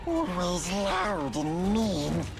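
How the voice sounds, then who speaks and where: An actor playing a small, sly, wretched creature in a game cutscene, muttering in broken grammar with a creaky, breathy hiss. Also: male voice, high-pitched, extremely slow, full character voice. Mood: disgusted, spiteful. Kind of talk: video game cutscene acting. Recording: loud game music behind.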